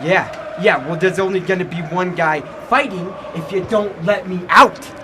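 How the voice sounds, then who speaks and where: An actor playing an edgy young lightweight boxer with an American accent, terse and evasive, in 1940s radio style. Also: male voice, sits high, moderate pace, a crowd in the background, noisy background, natural voice. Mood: guarded, irritated.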